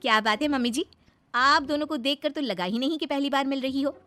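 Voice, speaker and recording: A woman playing a polite, dutiful young daughter-in-law with a respectful, eager manner in an animated moral story. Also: high-pitched, fairly quick. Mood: polite, cheerful.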